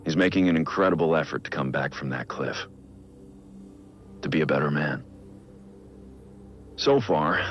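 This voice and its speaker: A brooding middle-aged vigilante mentor narrates a video game audio log in an extremely deep, gravelly voice. He is grave and introspective, weighed down by guilt. The sound is slightly dull and lo-fi. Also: fairly bright tone. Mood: remorseful, grave. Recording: muffled lo-fi audio log, music underneath. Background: music.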